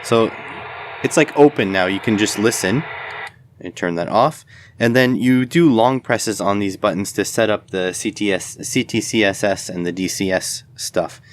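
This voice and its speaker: A man's voice: fairly low pitch, moderate pace, slight background noise. A man giving a casual, unscripted product demonstration in a rambling, matter-of-fact way. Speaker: video host, electronics product reviewer.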